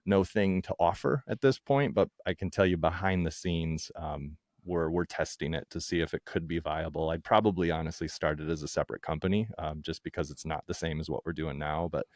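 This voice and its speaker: A man speaking casually as an interview guest, candid and informal, thinking out loud as he goes. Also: sits low, fairly even intonation, a little fast, hardly any pauses, no echo, clean studio sound. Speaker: guest, founder of a podcast production company.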